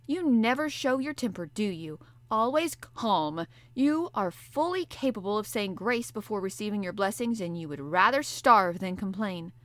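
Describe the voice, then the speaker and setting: A woman voicing a blustering, hungry male harpooner who talks loud and gruff in an audiobook. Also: medium pitch, animated, moderate pace, hardly any pauses, dry recording, natural voice. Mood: impatient. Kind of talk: audiobook character voice.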